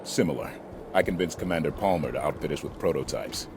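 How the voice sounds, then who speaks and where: An actor playing a stern, disciplined soldier who leads a fireteam in a video game, speaking in terse commands. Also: male voice, very deep voice, fast, noisy background. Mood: controlled, serious. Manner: terse, commanding, clipped orders. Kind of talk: video game cutscene acting. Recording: loud action score behind.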